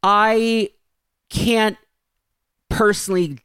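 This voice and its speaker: A man's voice: high-pitched, fairly even intonation, very slow, pushed and bright, clean tone, dry recording, clean studio sound. A man talking casually and animatedly about pop culture, emphatic and opinionated, with frequent asides.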